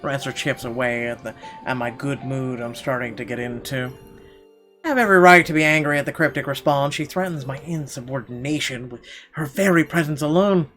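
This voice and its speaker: A man reads a visual novel aloud as a dramatic first-person narrator. His voice sits at an ordinary male pitch, with music playing behind him. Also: a little fast, a bit bright.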